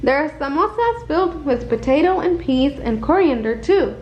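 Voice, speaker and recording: A woman's voice: sits high, lively intonation, moderate pace. A woman as a friendly, upbeat male shopkeeper. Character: friendly male neighbourhood shopkeeper, cheerful and helpful. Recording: roomy, muffled, some background noise.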